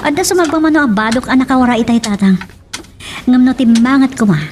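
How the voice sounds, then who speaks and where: A woman's voice: sits high, animated, fast talker, darkish tone, distant mic, a little hiss or hum. A woman with an Ilocano accent playing a watchful, questioning daughter in a radio drama. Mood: inquisitive, guarded.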